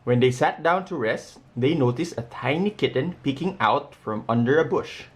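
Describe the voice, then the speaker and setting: A man's voice: mid-range voice, lively intonation, normal speed. Man reading a children's picture book aloud. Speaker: storybook reader.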